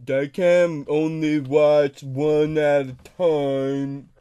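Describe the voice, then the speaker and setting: A man plays a childlike grown man who gets confused and upset easily. He talks extremely slowly in a smooth, slightly high voice, halting and insisting on the same words. It is prank-call comedy. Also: little pitch movement, dark tone, highs cut off. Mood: puzzled, insistent.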